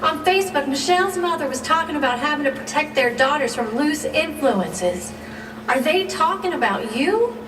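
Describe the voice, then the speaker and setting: A woman's voice: high-pitched, lively intonation, normal speed. A woman playing a worried, accusing mother of a teenager in a radio drama, stern and exasperated. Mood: confronting. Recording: echoey hall, distant, loud noise.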